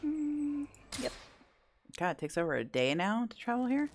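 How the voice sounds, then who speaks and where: A woman's voice: a little high, sing-song, a little slow, a little hiss or hum. A woman giving casual playthrough commentary, thinking aloud in a wry, self-joking way. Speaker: gaming content creator. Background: faint game music.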